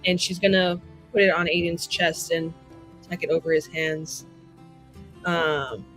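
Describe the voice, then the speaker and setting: A woman narrating her character's actions casually and haltingly as a player in a tabletop roleplaying game. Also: on the low side, steady tone, fairly bright tone, soft background music, low-quality recording.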